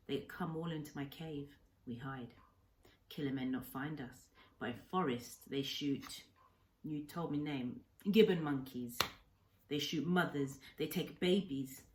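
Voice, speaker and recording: A woman voicing an old Japanese island hermit from a storybook, angry, sorrowful and gentle, in short sentences of halting broken English. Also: a bass voice, slight background noise. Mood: sad, frightened. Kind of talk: storybook read-aloud character.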